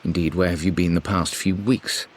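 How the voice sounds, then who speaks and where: An actor playing a stern middle-aged British uncle, reading out a formal letter in a cold, imperious manner. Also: male voice, sits low, steady tone, normal speed. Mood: cold, suspicious. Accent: British English. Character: stern, threatening upper-class uncle. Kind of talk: letter read in character.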